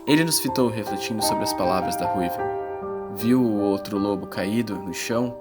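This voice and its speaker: Casting: male actor as earnest young adventurer-narrator, suspenseful audiobook storytelling, lowish voice over heavy music. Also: normal speed, close to an everyday voice. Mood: wary, reflective. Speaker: narrator and protagonist. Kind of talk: scripted first-person audiobook narration. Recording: loud music bed under voice.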